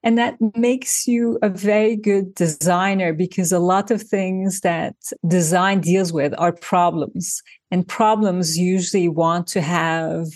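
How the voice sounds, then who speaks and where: A woman's voice: fairly low pitch, steady tone, takes it slowly, hardly any pauses, darkish tone, no room sound, clean studio sound. A woman from Turkey being interviewed, explaining her ideas thoughtfully through examples, with frequent restarts. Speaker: designer and author, interview guest. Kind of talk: reflective interview conversation.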